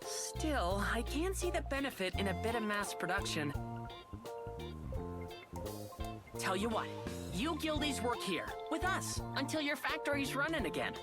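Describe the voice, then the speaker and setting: A woman's voice: on the high side, big pitch swings, normal speed, over loud music. A woman voicing a cocky, upbeat boy inventor in a video game, brash and casual but earnest. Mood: confident, bargaining. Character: cocky, upbeat young male inventor kid.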